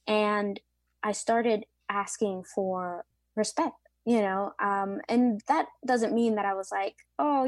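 A woman's voice: on the high side, darkish tone, no echo. A woman in her late twenties, a pastry chef being interviewed, speaking earnestly and reflectively with frequent fillers. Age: late 20s. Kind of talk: interview conversation.

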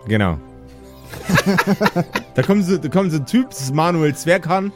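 Higher-voiced man as improvising game master. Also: big pitch swings, moderate pace, over music. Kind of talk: improvised, halting scene description with casual table talk.

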